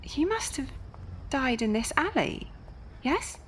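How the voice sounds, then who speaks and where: An actress playing a young, sardonic Victorian nurse with a wry, chatty manner in an audio drama. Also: female voice, sits high, sing-song, a little hiss or hum, full character voice. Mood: curious, gentle. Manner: wry, conversational, quick to grumble.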